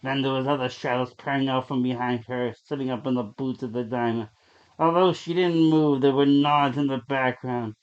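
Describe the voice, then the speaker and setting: A man reading a horror story aloud as a dramatic narrator, with deliberate, suspenseful delivery. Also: mid-range voice, fairly even intonation, smooth. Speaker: story reader. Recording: dull, lo-fi sound.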